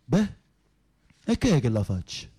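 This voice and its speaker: A man's voice: mid-range voice, huge pitch swings, a little slow, dark tone, husky, exaggerated voice. An actor in an Italian radio drama playing an earthy mob henchman in prison, blunt and colloquial, with a Neapolitan accent.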